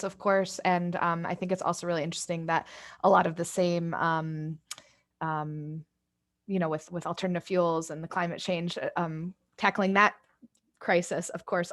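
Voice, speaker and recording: A woman moderating a webinar on a video call, speaking casually and with many fillers as she thanks speakers and introduces the next one. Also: on the low side. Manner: casual and friendly, with frequent fillers.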